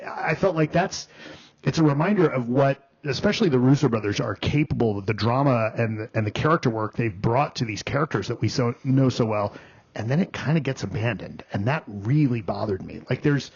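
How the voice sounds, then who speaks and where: Male critic co-host, mid-pitched, halting delivery full of fillers, slightly muffled lo-fi sound. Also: lively intonation, darkish tone, slightly rough. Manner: halting, many ums and restarts. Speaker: film critic, podcast co-host. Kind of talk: casual podcast review chat. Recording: dull lo-fi podcast audio.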